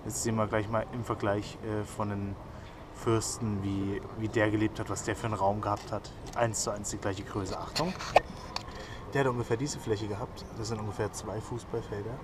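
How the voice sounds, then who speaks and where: A man's voice: lowish voice, little pitch movement, moderate pace, heavy background noise. A young man chatting casually through a travel vlog, enthusiastic and full of fillers, filmed on a camera held at arm's length. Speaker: vlogger. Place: museum indoors, then city streets at night. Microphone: action camera held at arm's length.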